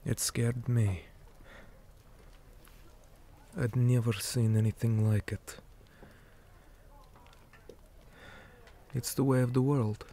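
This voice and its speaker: A man playing a weary, stern orc commander in an ASMR roleplay, speaking in a hushed, deliberate manner that often drops toward a whisper. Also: mid-range voice, fairly even intonation, a little hiss or hum, lightly acted. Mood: somber, resolute. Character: weary male orc commander, stern, measured, dutiful soldier. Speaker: interrogator in a roleplay.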